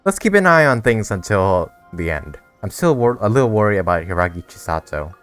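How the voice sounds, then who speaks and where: A man's voice: fairly low pitch, sing-song, a little fast, fairly bright tone. A man giving casual let's-play commentary as he reacts to a game and reads out choices. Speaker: gaming streamer. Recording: game music behind the voice.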